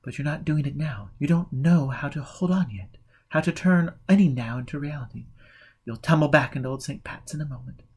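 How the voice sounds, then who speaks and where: A man reading the part of a calm, forgiving woman in an audiobook, gently and patiently giving guidance. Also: fairly high pitch, fairly quick, slightly rough.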